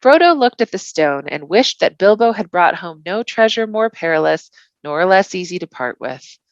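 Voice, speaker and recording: A woman reading a novel aloud as an expressive storybook narration. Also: lowish voice, normal speed, no echo, lo-fi sound. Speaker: volunteer reader.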